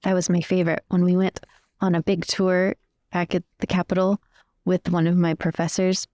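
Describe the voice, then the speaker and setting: Woman, lowish pitch, chatty, explanatory, improvised storytelling, tabletop game, dry sound. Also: level tone, moderate pace, warm tone, no echo. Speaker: tabletop role-player. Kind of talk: improvised in-character storytelling, conversational.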